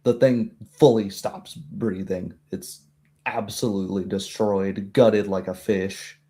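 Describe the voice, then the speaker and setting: A man narrating as game master, improvising descriptions of a tabletop scene in a halting, descriptive way. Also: fairly low pitch, steady tone, dark tone.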